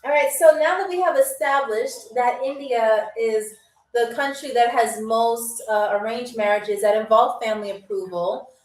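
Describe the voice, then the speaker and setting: A woman's voice: on the high side, moderate pace, recorded from a distance. A woman from New York hosting a live-streamed trivia game show, chatting with viewers, laughing often and reading their comments aloud. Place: room in Albania. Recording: echoey room, voice a bit off-mic.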